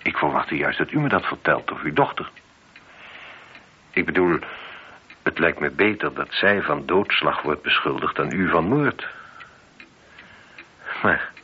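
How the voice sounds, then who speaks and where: An actor playing a shrewd, methodical chief police inspector in a radio play, speaking in a measured, probing and politely insistent way. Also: male voice, sits low, husky, exaggerated voice. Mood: pressing, firm. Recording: dull, noisy old radio play recording.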